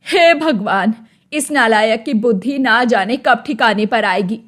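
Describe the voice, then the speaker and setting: An elderly village mother from a cartoon moral tale, played by a woman. Her voice is on the high side and full of exasperation. She scolds and laments dramatically, an anxious parent worn out by her son. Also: measured pace, lightly acted. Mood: scolding. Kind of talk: cartoon moral story voice acting.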